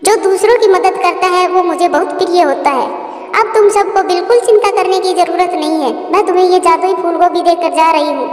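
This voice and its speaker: A woman's voice: very high voice, fairly quick, roomy sound, long reverb tail, far from the mic. A woman voicing a benevolent magical fairy who grants blessings and gives warnings in a cartoon. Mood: kind, solemn.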